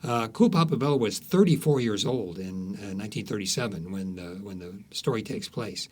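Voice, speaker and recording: A man's voice: lowish voice, animated, moderate pace. A man speaking as an author being interviewed, telling stories conversationally with frequent false starts and repeated words.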